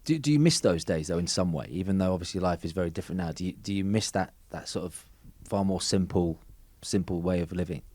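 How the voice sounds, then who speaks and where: A man's voice: low voice, fast talker, few pauses, darkish tone, dry recording. A man hosting a podcast, asking questions eagerly and tripping over repeated words. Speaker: podcast host. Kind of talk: interviewing a guest.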